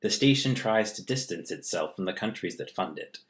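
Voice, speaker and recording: A man narrates from a prepared script in a somewhat low voice. The delivery is that of an explanatory narration, read line by line. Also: lively intonation, normal speed.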